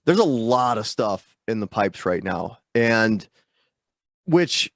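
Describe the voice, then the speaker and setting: A man with a fairly low voice talks loosely over a video call, his nose stuffed up from a sinus infection. He rambles and pokes fun at himself, with plenty of fillers. The sound is dry and close. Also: big pitch swings, slow, gravelly, dry recording. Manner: rambling, self-deprecating, lots of fillers. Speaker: guest musician. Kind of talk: casual interview chat.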